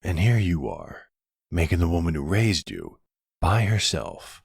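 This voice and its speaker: Casting: male actor for middle-aged silver fox mob boss, very low husky voice, wry and commanding, audio roleplay. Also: huge pitch swings, measured pace, no echo, clean studio sound, exaggerated voice. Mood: scolding, threatening. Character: suave middle-aged mafia boss, menacing yet tender boyfriend. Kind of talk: ASMR boyfriend roleplay acting.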